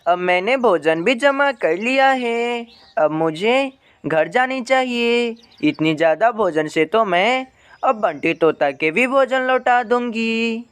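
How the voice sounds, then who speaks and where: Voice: mid-range voice, animated, takes it slowly, clear, resonant tone. A narrator voicing a caring, hardworking mother bird in a cartoon story, talking earnestly to herself. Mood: pleased. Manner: earnest self-talk. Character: worried, hardworking mother sparrow, caring and determined.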